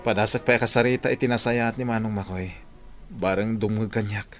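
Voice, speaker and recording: A man's voice: on the low side, fairly even intonation, fairly quick, fairly bright tone, soft background music, lightly acted. Earnest Ilocano younger brother, played by a man. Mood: troubled. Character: patient, wronged, forgiving younger brother. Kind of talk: Ilocano radio drama acting.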